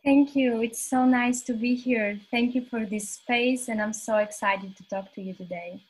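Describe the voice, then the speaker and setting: A woman in her twenties from Albania, a guest on a podcast, telling her story in a modest, earnest, soft-spoken way. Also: fairly high pitch, steady tone, normal speed, husky, rough recording. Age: 20s. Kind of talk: casual interview conversation.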